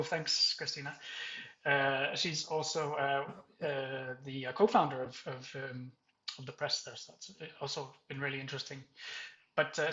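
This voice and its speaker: A man moderating a panel, introducing the guests in a halting, self-correcting and apologetic way. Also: a little high, sharp tone, reverberant, highs cut off.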